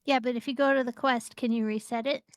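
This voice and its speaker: A woman with a fairly high voice chats while gaming, giving short, relaxed replies and nudging her partner along. The sound is dry and close to the mic. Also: fast, dry recording. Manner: short, easygoing replies and prompts. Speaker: co-host playing an online game. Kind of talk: casual gaming chat.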